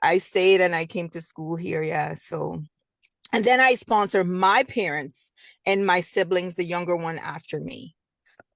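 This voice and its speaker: A woman in her fifties from Guyana, a guest in an interview, speaking softly and hesitantly with many pauses. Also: on the low side, gravelly, no room sound. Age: 50s. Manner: soft-spoken, hesitant with frequent ums. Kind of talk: casual interview talk.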